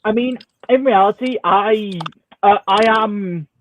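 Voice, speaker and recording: A man's voice: sits high, takes it slowly, slight background noise. A man in a casual group chat who explains himself hesitantly and laughs at his own remarks.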